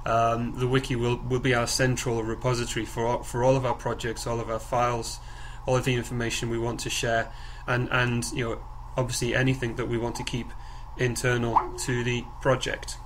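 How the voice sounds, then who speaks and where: Flat-toned man leading a noisy call. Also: mid-range voice. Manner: halting, repeats words and phrases. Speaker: project coordinator leading a group call. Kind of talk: informal conference-call presentation. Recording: clearly noisy conference call recording.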